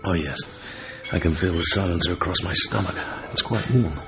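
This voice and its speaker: Low-voiced, terse secret agent. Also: male voice, moderate pace. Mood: terse, cool. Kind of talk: radio drama acting. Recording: dull broadcast sound with music behind.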